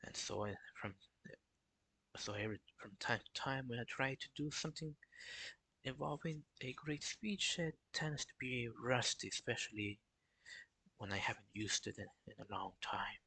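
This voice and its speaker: A young man talking casually on a live stream, in a flat, halting way with many restarts. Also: mid-range voice, slightly rough, no room sound, poor audio. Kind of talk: casual solo stream talk.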